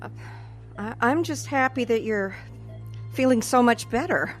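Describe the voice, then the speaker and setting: A woman's voice: fairly high pitch, lively intonation, unhurried, faint music behind. An actress playing a chatty, self-satisfied female retirement home caregiver who flusters easily, in an audio drama. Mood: uneasy, flustered. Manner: chatty, rambling, hesitant when flustered.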